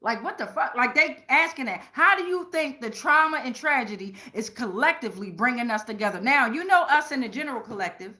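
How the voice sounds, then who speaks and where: Lady tarot streamer, highish sharp bright voice, cusses a lot, slangy and emphatic, keeps checking in w viewers. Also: animated, brisk, few pauses. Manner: checks in often with tag questions. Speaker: tarot reader and livestream host. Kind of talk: casual livestream tarot reading, talking to viewers.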